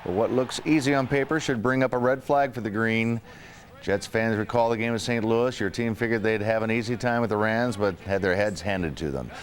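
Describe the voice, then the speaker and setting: A man's voice: on the low side, a little fast, a little hiss or hum. An American man narrating a sportscast as a television anchor.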